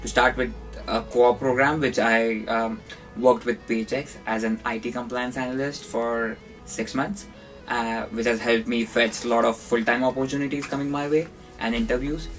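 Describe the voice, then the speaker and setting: A man's voice: mid-range voice, fairly even intonation, moderate pace, a bit bright. A man in his twenties, giving interview answers as a student. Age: 20s. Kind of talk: interview testimonial. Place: small cluttered office. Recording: distant mic, faint music underneath.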